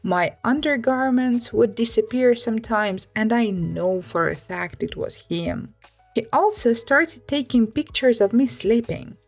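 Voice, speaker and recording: A woman reads a written first-person story aloud as a narrator. Her voice sits a little high and moves through lively, animated rises and falls. Music plays along behind the narration. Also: unhurried. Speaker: narrator reading online stories aloud.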